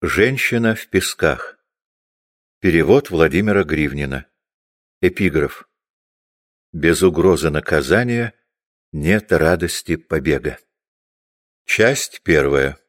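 A man's voice: extremely low pitch, expressive, takes it slowly, pauses often. A man reading out titles and headings as the announcer of an audiobook, in a solemn scripted style.

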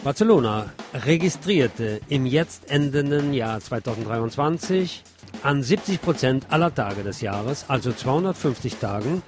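A man's voice: mid-range voice, expressive, moderate pace, music in the background. A man presenting scripted news in German, reading emphatically with the occasional wry aside.

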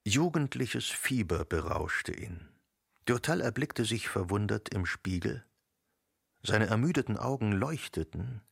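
A man reading literary narration for a radio drama in a measured storytelling manner. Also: lowish voice, lively intonation, gravelly, a bit bright, husky, dry recording, clean studio sound. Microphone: close studio microphone.

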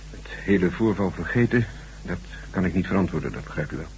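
An actor playing an obliging, principled chief computer programmer in a radio drama, measured, courteous and confiding. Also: male voice, low-pitched, darkish tone, natural voice. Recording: noisy, humming old radio recording.

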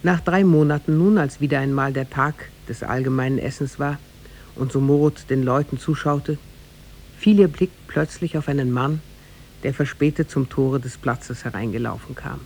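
A man narrating a tale in a scripted, measured and formal 1950s radio drama style. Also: mid-range voice, creaky, a little mellow. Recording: noisy 1950s radio recording.

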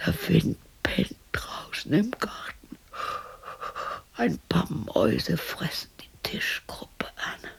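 A widowed woman in her sixties in a radio drama, lonely and defiant. She mutters and muses in a husky, breathy near-whisper that creaks at the edges, with pitch that swings widely. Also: sing-song, slow, full character voice. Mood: weary, musing. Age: 60s. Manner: musing, muttering, colloquial. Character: widowed sixty-year-old woman, lonely, defiant, talking to herself. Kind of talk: German radio play monologue.

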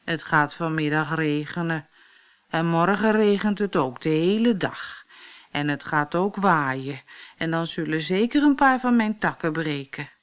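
A woman's voice: deep voice, expressive, measured pace, clean tone. A woman voicing a gloomy, self-pitying male apple tree in a storybook, grumbling and complaining. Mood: moaning. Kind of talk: fairy-tale storybook voice.

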